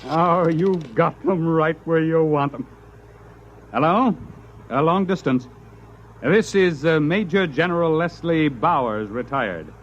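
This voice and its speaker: An actor playing a confident retired major general in a 1950s radio drama. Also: male voice, fairly high pitch, animated, takes it slowly. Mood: self-assured. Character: confident retired male major general placing a grand call. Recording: muffled, noisy vintage radio broadcast.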